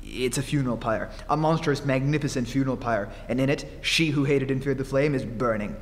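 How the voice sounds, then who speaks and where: A man's voice: medium pitch, a little fast, lightly acted. A man playing a desperate, haunted fugitive in old-time radio horror, melodramatic and stammering, recorded on stage in a hollow, hissy hall. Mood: feverish awe.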